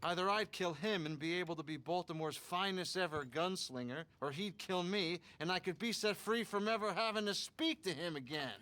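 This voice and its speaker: An actor playing an earnest, nervous Old West biographer in a western game, with a wordy, pleading manner. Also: male voice, high-pitched, brisk, hardly any pauses, bright, forceful tone. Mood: eager. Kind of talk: western video game acting.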